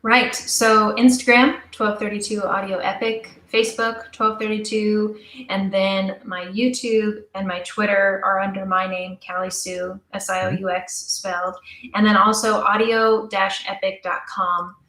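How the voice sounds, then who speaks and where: A woman's voice: medium pitch, little pitch movement, measured pace, bright, forceful tone. A young adult woman speaks in a roomy, echoing space. She is an interview guest, talking in a casual, earnest and enthusiastic way. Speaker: interview guest, audio drama writer and producer. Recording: roomy, echoey sound.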